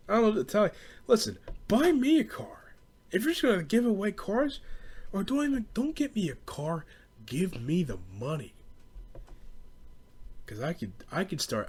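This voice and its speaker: A man's voice: on the high side, husky. Exasperated young American guy ranting. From United States. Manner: exasperated, profane, bro-ish slang. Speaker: podcast host. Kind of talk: casual rambling comedic rant.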